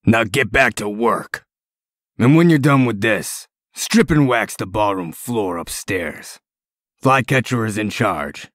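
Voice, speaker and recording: A man playing a gruff, bossy, sardonic lawman in a comic dub. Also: lowish voice, expressive, takes it slowly, fairly bright tone, no echo, studio quality. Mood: stern.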